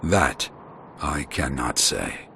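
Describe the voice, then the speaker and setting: Deep-voiced, solemn old warrior. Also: male voice, lively intonation, vocal fry, bright, forceful tone, a little hiss or hum, full character voice. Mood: grave. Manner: measured, formal, archaic phrasing. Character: weary old male warrior, solemn, wise, regretful. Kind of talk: scripted video game voice acting.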